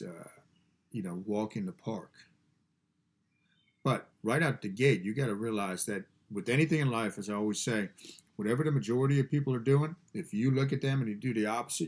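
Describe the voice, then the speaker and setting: A man's voice: lowish voice, a little mellow. A man hosting a podcast from his garage studio, sounding stuffed up and nasal from allergies. He talks in a casual, emphatic way, repeating key phrases and often restarting sentences. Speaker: podcast host and fasting coach. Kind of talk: casual motivational talk.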